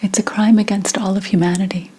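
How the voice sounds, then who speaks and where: A woman playing a mystical, brooding 17th century witch healer in a breathy, whispered ASMR roleplay, telling things solemnly with deliberate pauses. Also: mid-range voice, level tone, dark tone, natural voice. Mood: grave, brooding.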